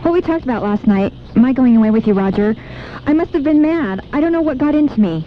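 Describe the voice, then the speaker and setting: Woman acting a pleading high-voiced wife, muffled radio. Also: lively intonation, fast talker. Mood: hesitant. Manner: pleading, emotional, melodramatic. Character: torn, pleading married woman, earnest and guilt-ridden. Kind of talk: old-time radio drama acting. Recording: muffled, faintly noisy AM radio aircheck.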